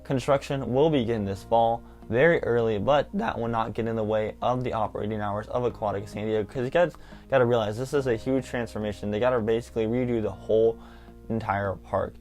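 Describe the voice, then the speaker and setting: A man's voice: fairly low pitch, brisk, darkish tone. Young man, eager rambling vlog talk, faint music behind. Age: young adult. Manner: enthusiastic, rambling, lots of "like". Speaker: theme park vlogger. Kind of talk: casual excited vlog talk.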